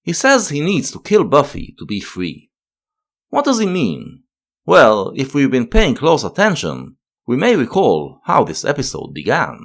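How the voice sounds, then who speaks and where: A man's voice: mid-range voice, huge pitch swings, measured pace, creaky. A man narrating a scripted analytical video essay.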